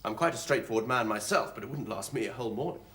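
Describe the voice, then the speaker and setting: Man acting a wry soldier captain, animated delivery. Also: mid-range voice, quick pace, close to an everyday voice. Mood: matter-of-fact, teasing. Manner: matter-of-fact, wry, gently teasing. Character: dry, pragmatic soldier captain, amused and gallant. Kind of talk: stage comedy acting.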